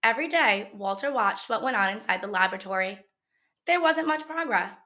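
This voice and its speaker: A woman reading a children's picture book aloud as a teacher would, in an expressive storytelling manner. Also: medium pitch, brisk.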